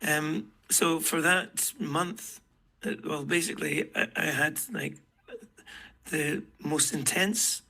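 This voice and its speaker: A middle-aged man with a rough voice hosts a casual group chat, halting and full of fillers. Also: on the high side, sharp tone, low-quality recording. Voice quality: rough, gravelly voice. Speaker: host.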